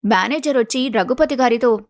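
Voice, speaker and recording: A woman's voice: fairly high pitch, normal speed, dry recording, studio quality. A woman reading a scripted family story as its narrator.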